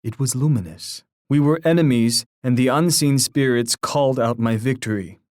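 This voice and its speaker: An actor playing a proud, poetic Native war chief in a video game, speaking in a formal, grandiose, oratorical manner. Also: male voice, medium pitch, moderate pace, dry recording, clean studio sound, lightly acted. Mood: solemn, stately, then proud, dramatic. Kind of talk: scripted video game voice lines.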